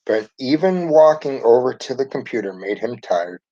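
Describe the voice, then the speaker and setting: A man reads a children's storybook aloud with a very animated delivery. His pitch sits in the middle and moves widely through the narration. The recording sounds dull and lo-fi. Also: normal speed. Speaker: storybook reader.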